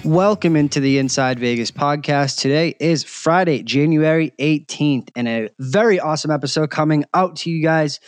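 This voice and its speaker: A man's voice: on the high side, no echo. A man hosting a sports betting podcast, talking casually and effusively with lots of filler ums. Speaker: podcast host.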